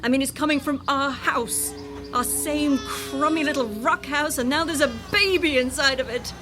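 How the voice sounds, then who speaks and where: Actress as high-pitched, impatient, domineering wife. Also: female voice, normal speed, music in the background, natural voice. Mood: dismayed, sour. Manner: sarcastic, bossy. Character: impatient, domineering wife, sharp-tongued and dismissive. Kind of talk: radio drama acting.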